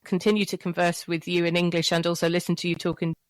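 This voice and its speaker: Lady podcast host, thoughtful and measured, smooth flat delivery, dry close sound. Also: on the low side, no echo. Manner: thoughtful, measured, with many restarts. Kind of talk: reflective interview talk.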